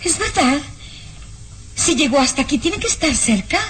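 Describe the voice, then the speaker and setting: A woman's voice: sits high, expressive, normal speed, background noise. An actress playing a frightened, impressionable young woman in a vintage radio drama, gasping exclamations and asking anxious questions. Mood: alarmed.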